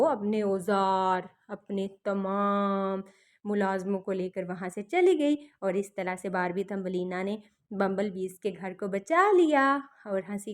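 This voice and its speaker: A woman narrating a fairy tale for children, explaining things simply and repeating them as to small children. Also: mid-range voice. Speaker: children's storyteller.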